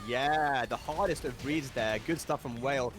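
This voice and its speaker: A man's voice: medium pitch, normal speed, fairly bright tone, faint music behind. A man giving chatty, enthusiastic live commentary on a fighting-game tournament, often laughing along. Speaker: esports commentator.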